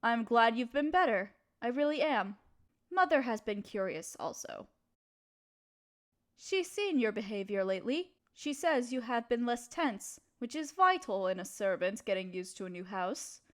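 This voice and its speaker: A young noble lady played by a woman speaks in a fairly high voice. She is kind and proper, with formal, genteel phrasing and a gentle hesitancy. It is an intimate audio drama addressed straight to the listener. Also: lively intonation, a little slow. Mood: caring, relieved.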